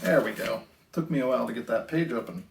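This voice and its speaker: A man hosting a children's storytime, reading picture books aloud in an expressive, sing-song way with drawn-out phrases. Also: mid-range voice, vocal fry, a little hiss or hum. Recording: roomy, echoey indoor sound.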